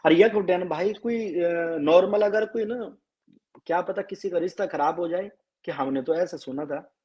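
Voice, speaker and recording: This is a man with a high voice and a Garhwali accent. He sings a slow folk song, then speaks earnestly and quickly as a guest. The sound is dull and lo-fi, like a live stream over a call. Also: a little mellow. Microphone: live video call.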